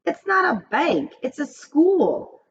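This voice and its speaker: A woman's voice: high-pitched, very animated, slow, highs cut off, a little hiss or hum, pitched above the performer's own voice, heavily acted. A woman voicing an earnest, exasperated schoolboy in a storybook reading, speaking emphatically. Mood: matter-of-fact.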